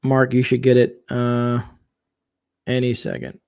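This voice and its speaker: A man hosting a casual late-night livestream, chatting and reading viewer comments aloud, his voice getting hoarse. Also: medium pitch, monotone, measured pace, darkish tone. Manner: chatty, reads viewer comments aloud, frequent fillers and laughs. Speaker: livestream host.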